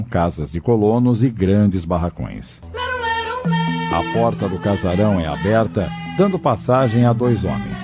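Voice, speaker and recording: A man narrating an audio drama from a script. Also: lowish voice. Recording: dull lo-fi audio drama recording.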